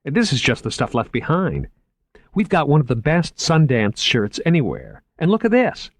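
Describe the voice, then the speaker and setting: A man voicing an eager, shy young male museum curator who talks fast and stammers when he gets flustered. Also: fairly high pitch, huge pitch swings, no echo, pitched above the performer's own voice, exaggerated voice.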